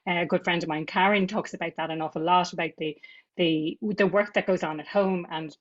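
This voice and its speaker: A woman's voice: on the low side, fairly even intonation, brisk, highs cut off. A woman, a podcast guest talking reflectively, often repeating words as she gathers her thoughts. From Ireland. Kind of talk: conversational podcast interview.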